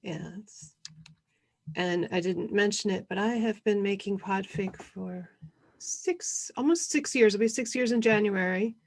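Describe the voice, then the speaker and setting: A woman co-hosting an online session, introducing things in an informal, slightly halting way. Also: mid-range voice, vocal fry. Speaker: co-host presenting an online convention session.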